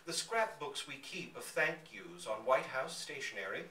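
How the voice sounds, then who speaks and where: A man giving an expressive, theatrical reading of a literary story aloud. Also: mid-range voice, moderate pace. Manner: theatrical, dramatic emphasis. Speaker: reader at a live literary reading. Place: indoor public reading in a bookstore. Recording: roomy, distant mic, faint background noise.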